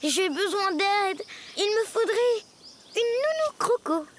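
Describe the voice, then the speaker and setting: A woman's voice: very high-pitched, very animated, takes it slowly, a little hiss or hum, heavily acted. A woman playing an eager, slightly reckless young jungle boy in a cartoon dub. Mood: worried. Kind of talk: animated cartoon dub acting. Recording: loud music behind.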